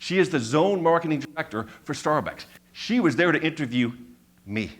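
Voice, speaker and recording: A man in his fifties telling a personal story on stage, with a stutter that repeats sounds and words, building his points through measured, emphatic repetition. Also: fairly high pitch, expressive, fairly bright tone. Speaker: speaker giving a talk.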